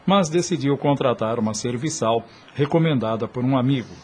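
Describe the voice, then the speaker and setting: A man's voice: mid-range voice, normal speed, darkish tone, a little hiss or hum. A man with a Brazilian accent giving dramatic, scripted narration for a radio soap opera.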